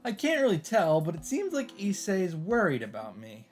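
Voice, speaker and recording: A man's voice: sits high, huge pitch swings, normal speed. A man narrating a visual novel aloud and adding casual commentary as a let's-play host, with game music behind him. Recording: background music.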